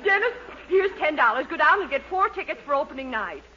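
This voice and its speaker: An actress playing a vain, excitable middle-aged mother in a 1940s radio comedy, theatrical and self-satisfied. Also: sits high, fast, slight background noise. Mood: excited. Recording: muffled 1940s radio recording.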